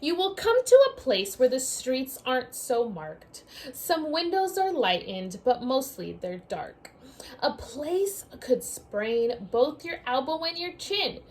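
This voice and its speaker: A woman teacher reading a picture book aloud to young children in an expressive, sing-song storybook manner, speaking to them directly. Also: sits high, takes it slowly, slight background noise.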